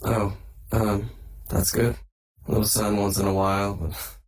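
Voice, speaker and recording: An actor playing a despairing, hollow-feeling man in a visual novel game, speaking in a halting, brooding way. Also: on the low side, flat delivery, natural voice. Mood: hollow, hesitant. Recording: slightly distant, music behind. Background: music.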